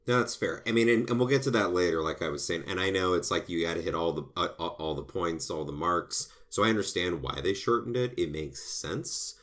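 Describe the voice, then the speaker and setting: A man in casual movie-review chat, rambling with frequent restarts and animated asides. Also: deep voice, a little fast, hardly any pauses. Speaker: film review podcast co-host.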